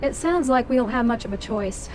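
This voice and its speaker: A woman's voice: fairly high pitch, expressive, brisk, a little mellow, a little hiss or hum, lightly acted. A woman voicing an elven heroine in a video game, direct and questioning. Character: elven woman warden, player hero. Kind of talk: fan-voiced game protagonist.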